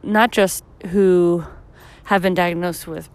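Woman, thirties, podcast host, tired, low husky voice, rambling reflective chat, walking outside. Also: fairly even intonation, slow, darkish tone. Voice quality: slightly deep, husky from tiredness. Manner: reflective, rambling, many fillers. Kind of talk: casual solo podcast monologue. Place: walking outdoors on a street.

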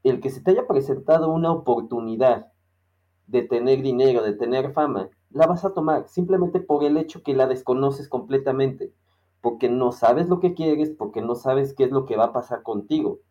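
A man's voice: mid-range voice, moderate pace, dull audio. A man with a Mexican accent chatting casually as a podcast co-host, rambling and searching for his words. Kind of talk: casual conversation.

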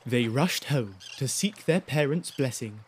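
A man narrating an audio drama like a wry storybook teller, measured and theatrical. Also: medium pitch, expressive, slight background noise, close to an everyday voice. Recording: very loud music bed behind.

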